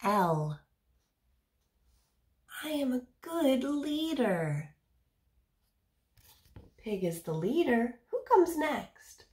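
A woman's voice: sits high, sing-song, extremely slow, long pauses, a little mellow. A woman in her thirties reading a picture book aloud to young children as a teacher, explaining simply and putting questions to her listeners.

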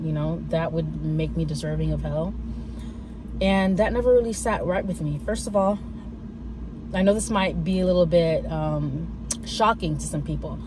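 Woman musing to camera in parked car. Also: fairly low pitch. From United States. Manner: reflective, many fillers and restarts. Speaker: vlogger. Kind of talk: casual personal talk to camera. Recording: car interior with clear road noise.

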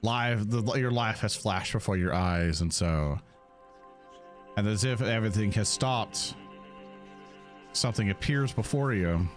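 A man's voice: on the low side, measured pace, pauses often, soft background music. A man hosting as game master, narrating a live-play tabletop game and talking casually to viewers.

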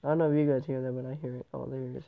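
A man's voice: mid-range voice, little pitch movement, rapid-fire, vocal fry. A male gamer with a stuffy nose from a cold, rambling through casual game commentary and often correcting himself. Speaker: gameplay channel host. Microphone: tablet microphone. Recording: tinny, phone-like tablet recording.

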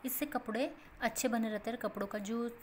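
A woman's voice: fairly high pitch, brisk. Woman teaching home science, faint hiss. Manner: steady explanatory, repeats points in plain steps, mixes English terms. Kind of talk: explaining a textbook page as a lesson.

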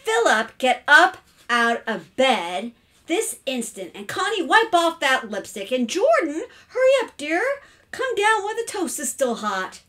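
Woman acting a high, fussing storybook mother. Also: very animated, normal speed. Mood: impatient. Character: harried, affectionate mother and housewife.

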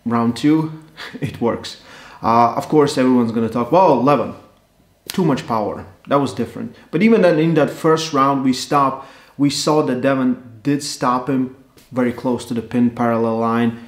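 A man, giving casual, animated analysis as an arm wrestling coach from his sofa. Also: mid-range voice, normal speed. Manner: animated, gesturing, conversational with fillers. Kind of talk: casual post-match analysis. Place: living room, seated on a sofa. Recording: echoey room.

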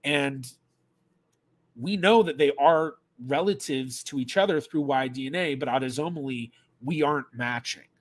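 A man's voice: medium pitch, normal speed. A man in his thirties, a genealogist, chatting casually on a livestream from a small room at home, often thinking aloud with restarts and fillers. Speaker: genealogist streamer. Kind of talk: casual livestream chat reading questions.

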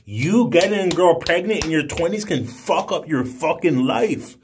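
A man's voice: fairly high pitch, animated, moderate pace, slight background noise. A man in his twenties talking casually to camera in a brash, emphatic, slangy way with heavy swearing. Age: 20s. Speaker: video blogger. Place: small room indoors. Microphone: phone at arm's length.

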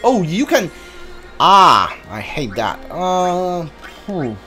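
Slow-paced man thinking aloud over game music. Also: high voice, big pitch swings, bright, forceful tone. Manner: thinking aloud in short muttered remarks. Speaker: let's play streamer. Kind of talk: casual game commentary. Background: video game music and sounds.

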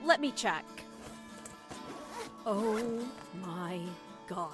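An actress playing an anxious, cautious accomplice in an audio drama, worried and cautioning in manner. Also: female voice, mid-range voice, lively intonation, very slow, over music. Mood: amazed.

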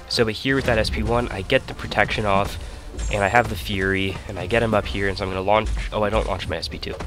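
A young man with a stuffy nose giving casual, rambling commentary over his own gameplay. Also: lowish voice. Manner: relaxed, rambling, self-correcting. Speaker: gaming channel host. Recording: voice-over with loud game music behind.

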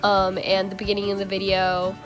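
A woman's voice: medium pitch, brisk, rough recording. A teenage girl talks into her phone's front camera with a stuffy, congested voice from a cold, and she sounds a little tired. She chats eagerly, with plenty of ums, while music plays behind her. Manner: chatty, excitable, frequent ums. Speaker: aquarium vlogger. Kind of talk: casual vlog chat. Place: bedroom and home at night, indoors. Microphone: phone front camera at arm's length.

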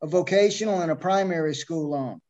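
A middle-aged man, a missionary, speaking devoutly and haltingly about his ministry in a video call interview. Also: on the high side, a little mellow. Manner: devout, hesitant speech with many restarts. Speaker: missionary, orphanage founder. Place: home room, beside his wife. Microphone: video call webcam. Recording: muffled lo-fi video call audio.

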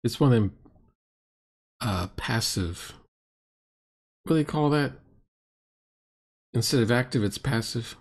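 A man's voice: mid-range voice, lively intonation, takes it slowly, pauses often, breathy edge. A middle-aged man giving unscripted, exasperated and sarcastic commentary as the host of a reaction video. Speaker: reaction video host critiquing a role-playing session.

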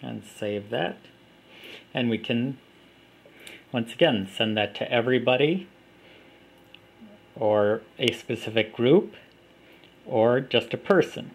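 A man giving a plain, unhurried walkthrough of an app, recorded on a camera mic indoors at a table. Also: mid-range voice, lively intonation, vocal fry, a little hiss or hum. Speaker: app developer demonstrating his app. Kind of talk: casual product demo.

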